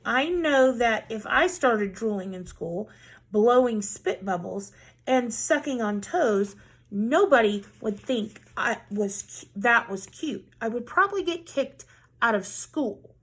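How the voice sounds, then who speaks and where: High-voiced woman reading a children's book. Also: slow pace, husky. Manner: animated, acts out characters' dialogue. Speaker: read-aloud storyteller. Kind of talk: expressive children's book read-aloud.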